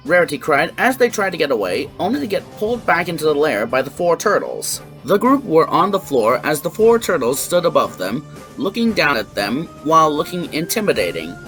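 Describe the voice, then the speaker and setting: A woman's voice: deep voice, big pitch swings, fairly quick, a bit bright. A woman narrating a scripted fan fiction story. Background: background music.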